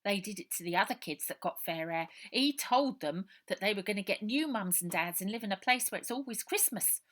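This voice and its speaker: A woman's voice: medium pitch, lively intonation, a little fast, fairly bright tone, lightly acted. A woman voicing a frightened, streetwise ten-year-old orphan boy with a working-class English accent, in earnest colloquial speech for a storybook. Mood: confiding.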